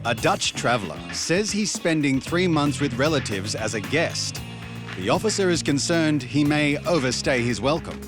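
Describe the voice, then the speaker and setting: A man narrating a documentary in a measured, explanatory scripted way. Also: fairly high pitch, very animated. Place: voice-over studio. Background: background music.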